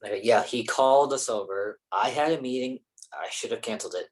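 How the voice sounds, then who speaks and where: A man's voice: medium pitch, brisk, lightly acted. A man acting as an awkward, protective young actor friend in a staged play reading, hesitant and defensive. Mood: sheepish.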